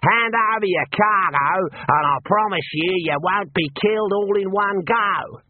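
A man putting on a mocking bandit, high, loud, taunting, tinny sound. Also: unhurried, no echo. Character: cheerful menacing male road bandit. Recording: tinny phone-like dry sound.

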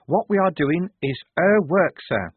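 A man's voice: sits high, expressive, unhurried, husky, no echo, pitched above the performer's own voice, heavily acted. A man voicing a pale, fervently devout young female private with a zealous manner. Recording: tinny phone-like dry sound.

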